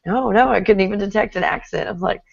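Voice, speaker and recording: A woman's voice: mid-range voice, quick pace, creaky, slightly rough, no room sound, highs cut off. A middle-aged woman from Texas with a Texan accent, hosting a casual interview, chatty and often laughing. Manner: chatty, laughs often, reads viewer comments aloud. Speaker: host.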